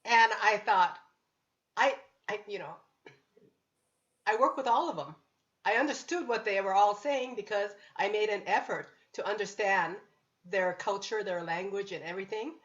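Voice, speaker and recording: A woman's voice: mid-range voice, normal speed, a bit bright, lo-fi sound. A woman working as a real estate broker, sharing a story animatedly on a community panel. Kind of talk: panel discussion over video call.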